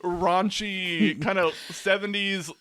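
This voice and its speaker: A man's voice: sits high, steady tone, slow, sharp tone, slight background noise. A man co-hosting a podcast, chatting casually and telling anecdotes. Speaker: podcast co-host.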